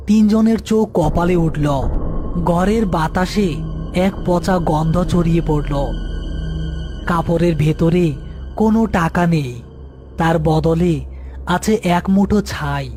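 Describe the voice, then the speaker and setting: A man's voice: fairly high pitch, darkish tone. A man reading scripted narration for a horror story as narrator and channel host.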